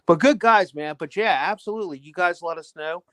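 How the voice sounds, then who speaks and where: A man's voice: sits high, a little fast, a crowd in the background. An American man from Louisiana hosting a casual chat in an easygoing, jokey storytelling manner. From Louisiana, United States. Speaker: host.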